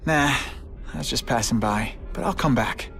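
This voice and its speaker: An actor voicing a young male masked hero in a video game, wry and earnest, with loud music behind. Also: medium pitch, moderate pace, vocal fry. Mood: subdued.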